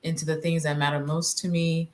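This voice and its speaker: A woman's voice: low-pitched, little pitch movement, fairly quick, darkish tone, slight background noise. A woman from Nigeria, a physician guest in an interview, speaking reflectively with frequent fillers and hesitations. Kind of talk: conversational interview.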